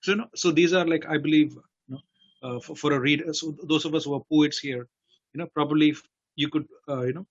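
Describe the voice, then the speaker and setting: A man's voice: a little high, steady tone, quick pace. Hesitant male interviewer on a dull line. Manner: hesitant, many fillers and restarts. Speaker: festival host, interviewer. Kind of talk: halting interview questions. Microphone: video call.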